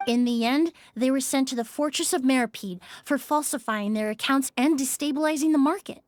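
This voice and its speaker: An actress voicing a composed female perfumer in a game, speaking in a calm, measured, precise way. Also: high voice, no room sound, close to an everyday voice. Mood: explaining. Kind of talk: game voice acting. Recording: dry studio recording.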